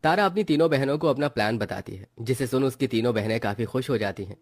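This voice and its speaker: Mid-pitched male story narrator. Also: expressive, fast, dry recording.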